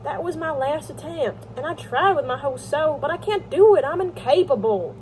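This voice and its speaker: A woman's voice: high voice, normal speed, slight background noise, pitched above the performer's own voice, natural voice, rough recording. A woman reading an audiobook, voicing an earnest, guilt-ridden younger brother in an emotive, hesitant way. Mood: guilty, despairing. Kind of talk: amateur audiobook character reading.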